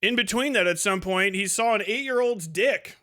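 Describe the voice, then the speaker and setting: A man with a notably high, bright voice. He comments casually and profanely, with a sardonic, mocking edge, slipping into quotes as he argues. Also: normal speed. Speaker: streamer host reacting to clips.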